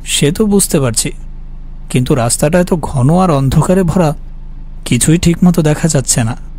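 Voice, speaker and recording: A man's voice: on the high side, normal speed, dark tone, a little hiss or hum, lightly acted. A man playing an anxious, guilt-haunted office worker who tells his horror story with uneasy earnestness. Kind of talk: dramatic horror story narration and dialogue. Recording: music behind the voice.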